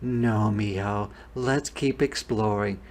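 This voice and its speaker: A man voicing a caring, soothing mother in a storybook, gentle and coaxing. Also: lowish voice, takes it slowly. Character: caring young boy's mother, soothing and upbeat.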